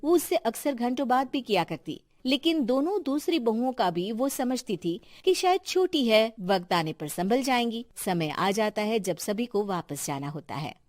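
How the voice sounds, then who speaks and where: A woman's voice: fairly high pitch, big pitch swings, moderate pace, few pauses, darkish tone, dry recording. A woman giving scripted narration for a family story.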